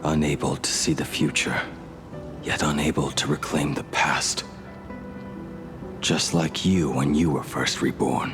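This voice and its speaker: Very deep, slow, weary ancient swordsman. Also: fairly even intonation, over music. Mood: solemn, resigned. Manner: grave, terse, brooding. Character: weary, stoic, ancient male swordsman and former smith. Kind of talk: dramatic video game voice acting.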